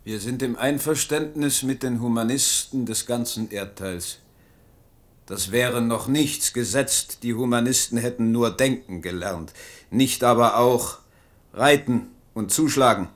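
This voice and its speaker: An actor playing a blunt, practical soldier in a radio drama. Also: male voice, mid-range voice, unhurried, natural voice. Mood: grim.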